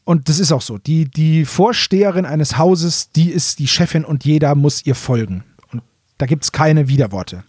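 Male podcast co-host, fairly high voice, dry sound, loose colloquial explainer who corrects himself. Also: normal speed, darkish tone, slightly rough, no echo. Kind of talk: casual chat retelling a story.

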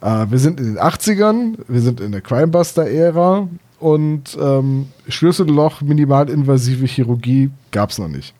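A man's voice: medium pitch, animated, moderate pace, few pauses, dark tone. A man co-hosting a casual discussion of books and audio dramas in a chatty, explanatory way. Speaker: podcast co-host.

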